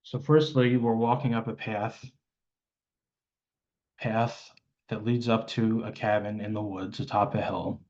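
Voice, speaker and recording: Man, lowish voice, guided meditation, hesitant mid-sentence pauses, dull lo-fi stream sound. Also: flat delivery, darkish tone.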